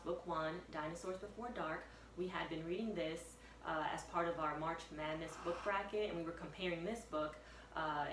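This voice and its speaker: A woman, a first-grade teacher, reading aloud to young pupils with expressive, suspenseful storytelling. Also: fairly low pitch. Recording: echoey room, distant mic, faint noise.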